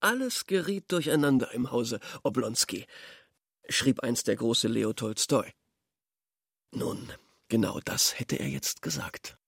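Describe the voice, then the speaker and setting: A man's voice: mid-range voice, expressive, slow pace, gravelly, fairly bright tone, breathy edge, no room sound, studio quality, full character voice. An actor playing a shrewd, nosy man thinking aloud as he eavesdrops, musing in clipped phrases in a radio drama. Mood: musing, calculating. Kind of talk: radio drama interior monologue.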